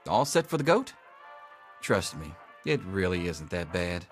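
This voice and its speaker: An actor playing a dry, sarcastic schoolteacher running an exam in a video game. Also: male voice, sits low, sing-song, unhurried, faint music behind. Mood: welcoming, encouraging. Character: sardonic male vault schoolteacher giving an exam. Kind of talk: scripted video game character dialogue.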